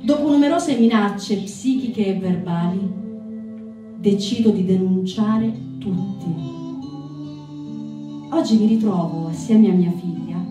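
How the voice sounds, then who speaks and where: An actress playing a desperate, defiant young mother who delivers an emphatic theatrical monologue with deliberate phrasing. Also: medium pitch, natural voice. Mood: desperate, resolute. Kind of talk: theatrical monologue, letter declaimed on stage. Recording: roomy echo, distant mic, music.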